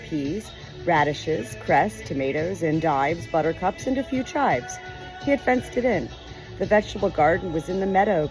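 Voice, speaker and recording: A woman reading a story aloud as an audiobook narrator, expressive and measured, with deliberate pauses. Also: on the low side, rough recording. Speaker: audiobook reader.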